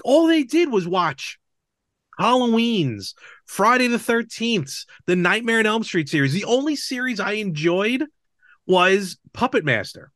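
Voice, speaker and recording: A middle-aged man hosts a casual podcast chat, wandering through asides. His voice is very animated and a touch high. It is recorded close and dry, with almost no room sound. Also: unhurried, dry recording. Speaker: podcast host.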